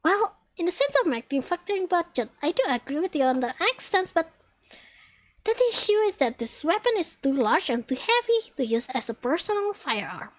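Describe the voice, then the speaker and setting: A woman voicing a composed young engineer student from an anime game, measured and explanatory. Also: high-pitched, sing-song, low-quality recording. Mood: calm, measured. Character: composed young female engineer student, matter-of-fact senior.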